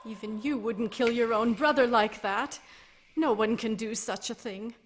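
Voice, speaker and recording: Woman acting an anguished noble lady, high-pitched. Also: measured pace, a little hiss or hum, close to an everyday voice. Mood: horrified. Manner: anguished, pleading, dramatic. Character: desperate noble lady, captive, defiant yet pleading. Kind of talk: fantasy radio play acting.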